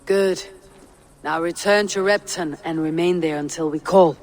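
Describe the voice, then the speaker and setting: An actress playing a stern, battle-hardened female Viking raider in a video game, with a low, gruff voice and terse delivery. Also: a little slow, darkish tone, natural voice. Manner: terse, commanding. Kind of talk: dramatic video game acting.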